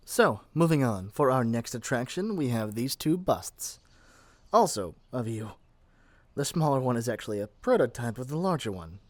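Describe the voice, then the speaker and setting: A man's voice: mid-range voice, big pitch swings, moderate pace, no room sound. A man playing a lovestruck, possessive young male witch in an ASMR roleplay, chatty and gushing with flustered hesitations. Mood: excited, gushing. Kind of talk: ASMR roleplay addressed to the listener.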